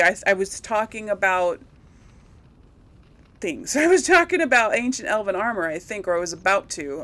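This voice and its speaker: A woman's voice: mid-range voice, a bit bright. A woman hosting a gameplay video in casual commentary, rambling and thinking aloud as she repeats herself.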